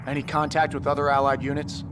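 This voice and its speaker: An actor playing a brisk, demanding special forces commander in a military game, with a clipped, authoritative delivery. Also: male voice, a little high, monotone, noisy background, lightly acted. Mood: focused. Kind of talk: military game cutscene dialogue. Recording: loud music under the voice.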